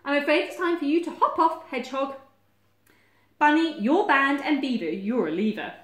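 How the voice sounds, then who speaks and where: Bossy storybook badger, put on by a woman, lively and echoey. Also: high voice, voice pushed up. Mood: brisk, dismissive. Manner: emphatic, chanting, drawn-out bossy delivery. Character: bossy, self-important male badger, cheerfully excluding everyone. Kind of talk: children's storybook read-aloud. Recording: echoey room.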